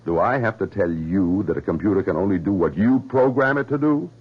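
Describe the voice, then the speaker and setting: An actor playing a sensible, skeptical colleague in a 1970s radio thriller, with an emphatic, reasoning delivery. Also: male voice, sits low, animated, brisk, slightly rough. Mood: incredulous. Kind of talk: 1970s radio drama acting. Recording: muffled, noisy vintage radio broadcast.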